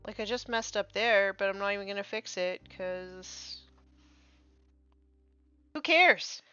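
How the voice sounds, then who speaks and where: A woman chatting casually on a painting live stream, a little congested from allergies, muttering soft asides as she works. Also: medium pitch, slow, smooth, no room sound, soft background music. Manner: soft muttering asides, trailing off mid-task. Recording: slightly dull, dry sound.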